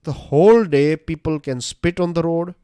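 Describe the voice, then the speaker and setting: A man's voice: fairly high pitch, moderate pace, dark tone, smooth, no room sound, clean studio sound. An Indian man with a Gujarati-influenced accent, a Gujarati native speaker, giving a casual current-affairs lecture with lots of fillers and rhetorical questions. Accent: Gujarati-influenced Indian English. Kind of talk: conversational exam-prep lecture.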